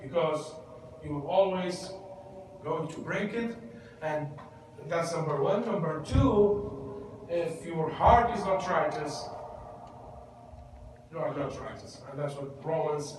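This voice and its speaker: High-voiced man preaching in echoey church. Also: slow, pauses often. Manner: conversational, asks the room questions. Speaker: pastor. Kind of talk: interactive Bible teaching. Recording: echoey church hall, distant mic, noisy.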